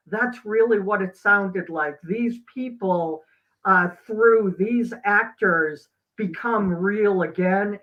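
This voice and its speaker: Slow, halting man on dull audio. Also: high voice. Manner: earnest, halting, emphatic pauses. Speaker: guest, doctor and teacher. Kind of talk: reflective interview talk.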